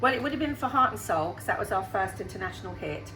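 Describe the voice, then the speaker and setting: A woman's voice: mid-range voice, animated, fast talker, sharp tone. Upbeat chatty woman in her sixties. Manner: chatty and upbeat, laughs easily. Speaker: singer guest. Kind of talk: radio interview answers. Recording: roomy sound with faint background hum.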